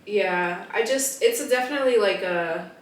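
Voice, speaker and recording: A woman co-hosting a podcast in casual chat, her voice still deep from a cold, hesitant and giggly. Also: moderate pace, recorded from a distance. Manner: hesitant, many restarts, giggly. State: recovering from a cold, voice deeper. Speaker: podcast co-host. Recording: echoey room, voice a bit off-mic, faint noise.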